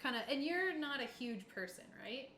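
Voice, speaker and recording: A woman's voice: sits high, lively intonation, moderate pace, pushed and bright. A woman running a tabletop roleplaying game, narrating casually and off the cuff with a playful, giggly manner. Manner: giggly, uses playful sound effects. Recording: echoey room with clear background hiss.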